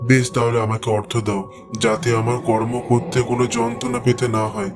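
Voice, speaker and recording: A man's voice: medium pitch, little pitch movement, a little fast, close to an everyday voice. A man voices an intense village devotee from a cartoon, earnest and desperate, given to repeating his words. Music runs behind him. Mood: pleading. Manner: earnest, pleading, repeats himself. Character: desperate, pleading village husband and occult devotee.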